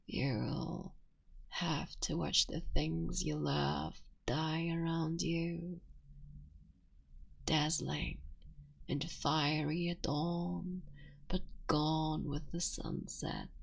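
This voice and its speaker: Immortal fox-spirit witch, tender wife, played by a woman in hushed ASMR whispers, slow and gravelly, breathy tone. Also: low voice, exaggerated voice. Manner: soft intimate whispering. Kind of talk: ASMR roleplay.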